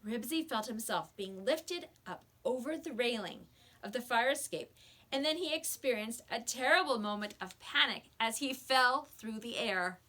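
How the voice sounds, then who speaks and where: A woman reading a children's book aloud with expressive storybook narration, like a teacher to a class. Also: on the high side, normal speed.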